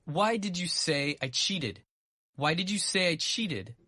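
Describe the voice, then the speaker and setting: A woman acts out an angry young man in a dramatic storybook reading. He is proud and combative, with a bright, forceful tone and slow, insistent phrasing in a very dry recording. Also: lowish voice, expressive, breathy edge, no room sound, heavily acted. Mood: indignant.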